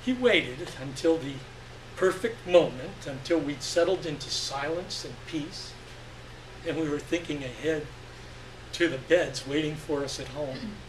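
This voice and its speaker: A man giving an expressive, deliberate dramatic reading of literary fiction on stage. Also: on the high side. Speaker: story reader. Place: live stage reading event. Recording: echoey room, distant mic, faint noise.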